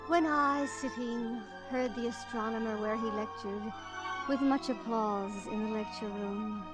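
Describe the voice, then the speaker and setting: A woman's voice: fairly high pitch, close to an everyday voice, rough recording. An actress playing a dreamy young woman in a 1940s radio drama, reciting lyrically and theatrically over music. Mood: dreamy, wistful. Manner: slow, lyrical, theatrical delivery.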